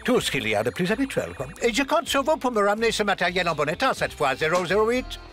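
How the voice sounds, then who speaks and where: An actor playing a fussy, polite spy gadget master in a French cartoon, with a formal, jovial manner. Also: male voice, sits high, very animated, fast. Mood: brisk, jovial. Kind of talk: French cartoon dub acting. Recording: music behind the voice.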